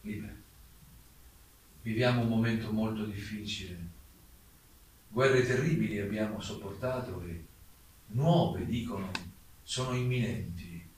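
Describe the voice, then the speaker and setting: A man playing an exiled German playwright giving a formal defence statement in a solemn, deliberate, rhetorical stage delivery. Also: fairly low pitch, a little mellow, close to an everyday voice. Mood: solemn appeal. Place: theatre stage, recorded from afar. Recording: very echoey distant stage recording, faint noise.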